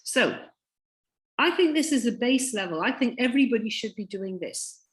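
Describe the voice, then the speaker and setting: A woman with a slightly high voice pitches eagerly over a video call, hammering home each point. Her voice is a bit croaky, and she keeps repeating herself for emphasis. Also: expressive, moderate pace. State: croaky from illness. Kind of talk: sales pitch.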